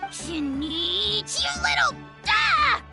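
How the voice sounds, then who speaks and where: A woman's voice: very high-pitched, huge pitch swings, slow pace, gravelly, over loud music, full character voice. A woman voicing a small, cheeky creature in a video game, boastful and grandiose in manner. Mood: indignant.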